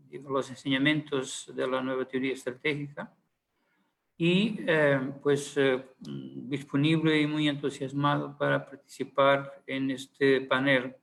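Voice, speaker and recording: A man's voice: medium pitch, level tone, unhurried, vocal fry, fairly bright tone, poor audio. A man speaking Spanish with a Portuguese accent, a panelist giving a conference talk, hesitant with many fillers and restarts.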